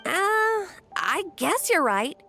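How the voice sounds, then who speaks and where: An actress playing an earnest, cautious young woman who organizes an arena in a video game, expressive and friendly. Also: extremely high pitch, slow pace, faint music behind, exaggerated voice. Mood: hesitant. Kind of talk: video game dialogue acting.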